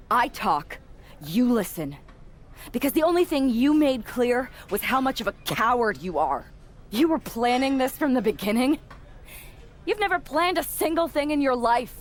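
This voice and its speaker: A woman voicing a betrayed, defiant female wrestler giving an accusing, resolute promo in a wrestling video game. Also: fairly high pitch.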